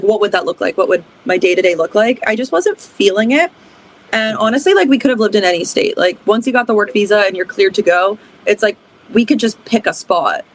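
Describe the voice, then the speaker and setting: A chatty young woman from Canada answers interview questions with an upbeat, easygoing flow. Her pitch sits in a middle range and she leans on fillers as she tells her stories. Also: a little hiss or hum, rough recording. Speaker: band singer and guest. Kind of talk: casual interview chat.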